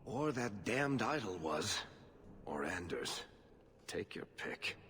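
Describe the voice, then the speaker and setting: An actor playing a wry, cagey dwarf rogue in a fantasy game, talking in a sardonic, offhand way. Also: male voice, on the low side, very animated, takes it slowly, fairly bright tone, heavily acted. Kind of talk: dramatic fantasy game acting. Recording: long hall reverb.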